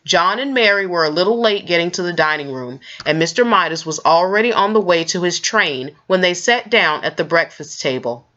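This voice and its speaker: A woman reading a children's book aloud in an expressive storytelling style, with scripted narration. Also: on the low side, fairly quick, few pauses, dull audio.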